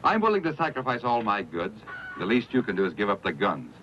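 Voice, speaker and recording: Radio western role: desperate trader, pleading, very lively intonation, muffled and faintly noisy. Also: male voice, fairly low pitch, brisk. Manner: pleading, insistent. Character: desperate, self-serving frontier trader bargaining for his life. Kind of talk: western radio drama acting.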